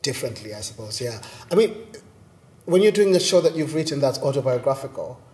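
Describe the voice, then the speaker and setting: A man's voice: mid-range voice, fairly quick. Thoughtful man speaking in a rehearsal room. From Zimbabwe. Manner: thoughtful, animated, talks with his hands. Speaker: playwright and performer. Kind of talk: reflective conversation. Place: large bright rehearsal room indoors.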